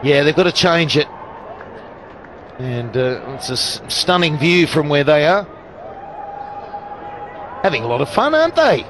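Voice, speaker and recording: Excitable high-voiced male cricket commentator. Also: unhurried, long pauses, background noise. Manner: excitable, shouts at big moments, explains rules. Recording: broadcast commentary over loud crowd.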